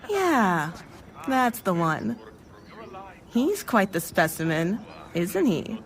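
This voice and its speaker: A woman's voice: medium pitch, sing-song, takes it slowly. A woman playing a gossipy, sisterly companion spirit in an audio drama, with a knowing, teasing way of talking. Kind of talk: fan audio drama dialogue.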